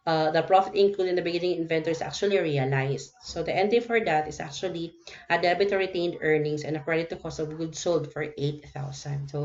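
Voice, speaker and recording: Low-voiced Filipino woman lecturing, dull recording. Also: darkish tone. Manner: code-switching, hesitant, frequently checks understanding with tag questions. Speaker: accounting lecturer. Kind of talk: informal recorded lecture, explaining step by step.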